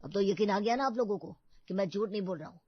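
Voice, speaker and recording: A woman's voice: mid-range voice, quick pace, slightly rough, dry recording, muffled recording, natural voice. Woman as earnest, suspicious young brother. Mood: indignant, urgent.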